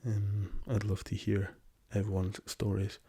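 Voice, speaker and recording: A young man with a hoarse, raspy voice from a lingering cough, talking softly and hesitantly as an ASMR host, slipping into whispers. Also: fairly low pitch, monotone. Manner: soft-spoken, slow and hesitant with many ums, drifting into whispers. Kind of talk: soft-spoken ASMR travel chat.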